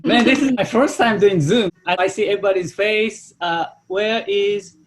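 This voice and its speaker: A middle-aged man from Japan with a Japanese accent, speaking non-native English haltingly in an informal talk about himself on a video call. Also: high-pitched, slight background noise. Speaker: winemaker, guest speaker.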